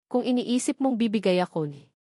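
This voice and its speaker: A woman voicing a weary husband with a quiet, controlled, cold manner in an audio drama. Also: fairly high pitch, animated, fairly quick, no room sound, clean studio sound. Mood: cold, warning. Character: calm, weary, steely husband.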